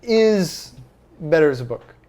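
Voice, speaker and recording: A woman's voice: deep voice, lively intonation, unhurried, crowd noise. A woman talks in a casual podcast discussion. She is opinionated and conversational, putting her views across informally.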